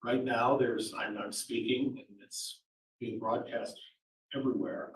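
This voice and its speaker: A man questioning prospective jurors in a courtroom, talking in a loud, projecting voice and rambling with many fillers. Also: medium pitch, steady tone, measured pace. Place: large courtroom, indoors. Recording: echoey courtroom audio from far off.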